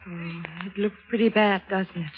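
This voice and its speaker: Radio noir client acted by a woman: cool, coy married lady, medium pitch, vintage muffled sound. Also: fairly even intonation, moderate pace, close to an everyday voice. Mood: composed. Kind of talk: 1940s-50s radio drama acting. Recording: dull vintage radio recording.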